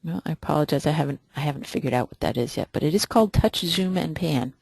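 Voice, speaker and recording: Hesitant webinar instructor, gravelly voice. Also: mid-range voice, fast talker, darkish tone, no echo. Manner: hesitant, many ums, thinks aloud. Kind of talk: live webinar Q&A, screen demo.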